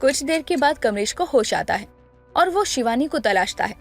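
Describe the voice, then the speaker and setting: High-pitched woman storyteller. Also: sing-song, moderate pace, soft background music. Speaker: narrator. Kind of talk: scripted story narration.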